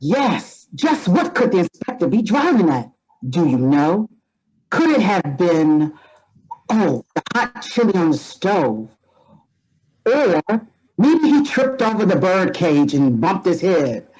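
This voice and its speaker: A woman's voice: fairly low pitch, very animated, slow, darkish tone, breathy edge, rough recording. A woman announcing a radio mystery with a teasing, theatrical delivery. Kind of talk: old-time radio announcing.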